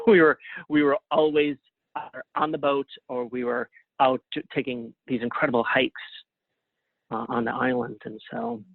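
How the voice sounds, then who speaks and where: A man speaking as an interview guest in a casual, thoughtful, halting way over a tinny phone-like connection. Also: mid-range voice, steady tone, vocal fry, no room sound. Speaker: interview guest, playwright and artist. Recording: narrow tinny phone-like line.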